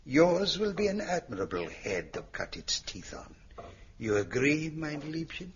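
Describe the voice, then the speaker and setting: Grandiose radio-play villain, menacing, highish voice, swooping delivery, theatrical. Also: male voice, sing-song, a little slow, slight background noise. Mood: cold menace. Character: ruthless, grandiose male megalomaniac villain with a sword. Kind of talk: radio drama acting. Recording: dull lo-fi radio recording.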